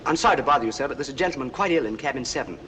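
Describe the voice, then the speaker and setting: Quick, deferential ship's steward. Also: male voice, medium pitch, animated, bright, forceful tone. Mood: polite, insistent. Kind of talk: 1940s film acting. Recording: faintly noisy 1940s film soundtrack.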